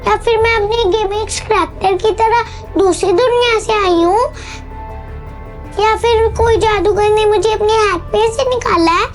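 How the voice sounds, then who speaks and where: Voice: extremely high pitch, measured pace, faint music behind. A performer playing an innocent, cheeky little schoolgirl in an audio drama, with a childlike, pert delivery. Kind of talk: Hindi audio drama acting.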